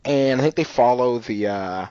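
A man's voice: medium pitch, normal speed, dark tone, clear, resonant tone, dry recording, muffled recording. A man hosting a podcast in loose, casual chat, filling his talk with "you know" and "like". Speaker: podcast host.

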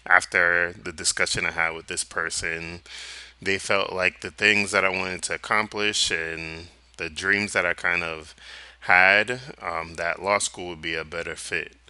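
A young man, a law student, introducing himself on a podcast in a calm, thoughtful way. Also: low-pitched, a little slow, clear, resonant tone, no echo.

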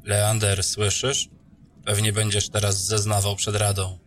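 An actor plays a proud, irreverent soldier in a fantasy radio play. His low male voice moves slowly, with blunt, colloquial phrasing and a sardonic edge. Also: monotone, lightly acted. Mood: teasing. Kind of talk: fantasy audio drama acting.

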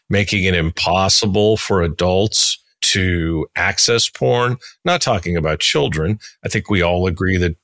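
A man in his sixties with a low voice, hosting an interview podcast. He talks conversationally and states his views emphatically, in a close, dry recording. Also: a bit bright, no room sound. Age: 60s.

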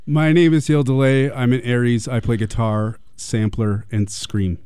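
A man's voice: medium pitch, steady tone, normal speed, warm tone, smooth. A man, a band's guitarist, chatting in a giggly way in a radio studio interview. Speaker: band guitarist.

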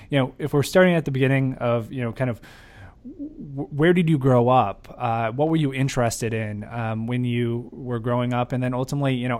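A man hosting a podcast, asking questions in a casual, rambling and hesitant way. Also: mid-range voice. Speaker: podcast host, interviewer.

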